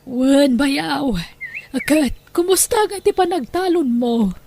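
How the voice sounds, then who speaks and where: Woman acting a kindly, high-voiced sister-in-law. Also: animated, slow, vocal fry, mellow, slight background noise, heavily acted. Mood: welcoming. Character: kindly, generous sister-in-law offering help. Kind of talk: conversational audio drama acting.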